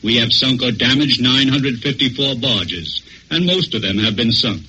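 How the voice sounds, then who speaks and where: Very sharp forceful male voice, medium pitch, American, formal wartime news commentary, faintly noisy old radio. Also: lively intonation, normal speed. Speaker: military officer giving war review.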